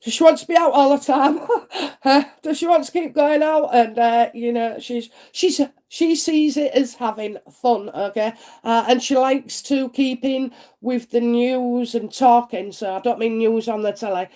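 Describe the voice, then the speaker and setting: A woman's voice: on the high side, fairly even intonation, unhurried, dark tone. A woman with a congested head cold, chatting on a live stream as a psychic reader and answering viewer comments in a homely way. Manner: chatty and homely, many "uh" fillers, asks "isn't it?".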